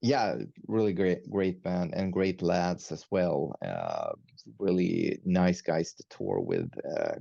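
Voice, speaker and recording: Deep gravelly swedish guy, slow halting chat, lots of uh's, kinda dull lo-fi sound. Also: lively intonation, warm tone, no echo. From Sweden. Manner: hesitant, many fillers and restarts, laughs often. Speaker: guest musician, metal band. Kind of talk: casual interview chat.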